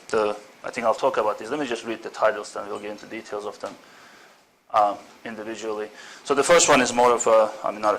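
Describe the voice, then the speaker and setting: A man's voice: lowish voice, vocal fry, a bit bright, a little hiss or hum, rough recording. A middle-aged man from Turkey giving an informal academic seminar talk, hesitant with many fillers and self-deprecating asides. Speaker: seminar speaker, senior lecturer. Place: seminar room.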